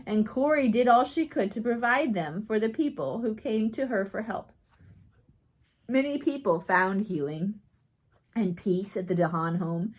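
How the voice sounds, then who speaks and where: Female audiobook reader, slightly high voice, measured phrase-by-phrase delivery of a life story. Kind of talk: scripted narration of a biography read aloud.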